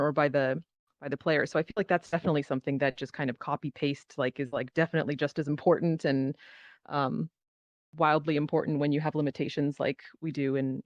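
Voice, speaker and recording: A woman's voice: low voice, darkish tone, no echo. A woman speaking as a panelist in a casual, reflective way, with informal, loosely hedged phrasing. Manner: hedging, informal, frequent "like" and "kind of". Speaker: panelist, theater performer. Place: virtual reality social platform meetup, online. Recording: dull lo-fi online voice chat.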